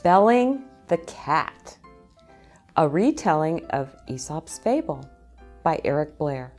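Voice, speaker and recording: A middle-aged woman hosting a children's storytime, reading aloud in a deliberate way and speaking directly to young listeners. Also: deep voice, big pitch swings, darkish tone, faint music behind. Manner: deliberate phrasing, addressing child listeners with questions. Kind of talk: slow, animated picture-book read-aloud for young children. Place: small indoor set with bookshelves, seated in an armchair.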